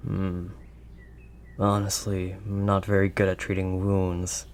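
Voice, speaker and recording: A man voicing a gruff but kindly werewolf of the wild woods in an intimate audio roleplay, with a halting, gently protective manner. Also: sits low, monotone, slight background noise. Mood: gentle, awkward. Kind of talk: audio roleplay, intimate second-person acting.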